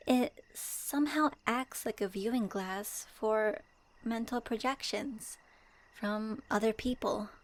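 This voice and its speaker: Woman playing a slow-spoken, gentle young traveler heroine. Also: a little high. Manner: soft, reflective, addresses listener directly. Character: gentle young female traveler swordswoman, thoughtful and wistful. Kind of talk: soft fan audio roleplay, ASMR.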